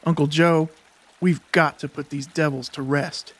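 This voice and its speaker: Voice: mid-range voice, fairly even intonation, unhurried, lightly acted. An actor playing a devout, earnest teenage boy who preaches emphatically in a dramatized audiobook. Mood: earnest, preachy.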